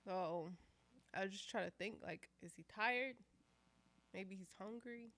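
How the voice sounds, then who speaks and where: A woman, a mother, talking calmly and plainly as a podcast co-host. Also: medium pitch, takes it slowly. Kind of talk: casual podcast conversation.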